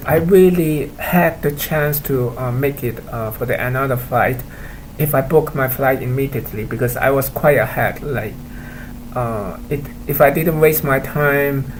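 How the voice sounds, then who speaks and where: Man, Cantonese first language, casual vlog rant, hesitant and annoyed, mid pitch, faint noise behind. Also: low-quality recording. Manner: halting, full of fillers and restarts, complaining. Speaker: vlogger. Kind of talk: casual monologue to camera.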